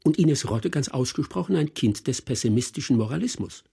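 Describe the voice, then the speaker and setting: An actor playing an ironic, educated man in a German radio drama, speaking in an ornate, mannered way with a raspy, creaky voice. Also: medium pitch, lively intonation, a little fast, mellow. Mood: emphatic, ironic. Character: ironic educated man dissecting an engaged couple with relish.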